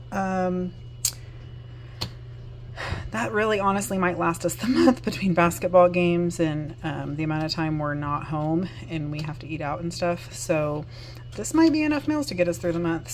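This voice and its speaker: A woman's voice: fairly low pitch, a little mellow, a little hiss or hum. A woman in her thirties with a Southern US accent, chatting casually and upbeat to the camera, with frequent ums and self-corrections, recorded on a phone held close in a home kitchen. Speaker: vlogger. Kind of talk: casual chat. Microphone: phone held close at arm's length. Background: dishwasher running.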